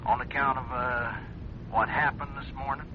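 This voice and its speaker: An actor playing a sly, folksy farmhand in a radio mystery, speaking in a hesitant, insinuating, rambling way. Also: male voice, mid-range voice, fairly even intonation, moderate pace, natural voice. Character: sly, folksy male farmhand hinting at blackmail. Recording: dull, noisy old radio recording.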